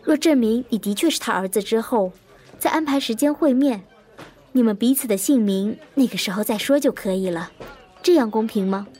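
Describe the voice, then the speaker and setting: An actress playing a composed, probing woman investigator in an audio drama, measured and introspective as she recalls a fateful case. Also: fairly high pitch, mellow, natural voice. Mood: calm, firm. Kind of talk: audio drama narration and dialogue. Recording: echoey long reverb, music behind.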